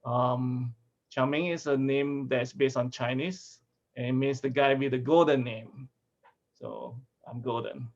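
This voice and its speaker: A man from Malaysia, a food sensory scientist, giving a relaxed talk over a video call with restarts and chuckles. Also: mid-range voice, unhurried. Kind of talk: casual video-call presentation. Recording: dull lo-fi video call audio.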